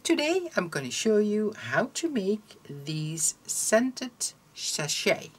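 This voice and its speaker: A Dutch woman with a Dutch accent hosting a crochet tutorial in English, her second language, talking casually and pausing often with ums as she searches for words. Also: medium pitch, sing-song, slightly rough. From Netherlands. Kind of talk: casual instructional talk. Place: indoors at a table, hands over crafts.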